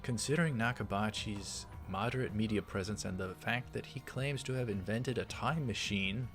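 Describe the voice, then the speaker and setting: A man reading visual novel text aloud in a measured way, dropping in casual asides as a let's player. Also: lowish voice, fairly bright tone. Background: game music.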